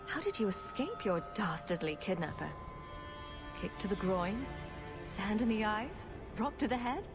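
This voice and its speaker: A woman's voice: medium pitch, very animated, measured pace, in a large hall, over music. A woman playing a sarcastic, wisecracking rogue adventurer in a video game, with a dry, unimpressed manner. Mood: wry sarcasm. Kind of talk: video game dramatic acting.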